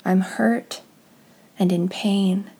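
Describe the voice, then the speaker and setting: A woman speaks extremely slowly, as if leading a contemplative prayer. Her voice is soft and mellow, with a breathy husk to it. Her delivery stays hushed and unhurried, suited to meditative scripture reading. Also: mid-range voice, fairly even intonation. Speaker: guided prayer leader.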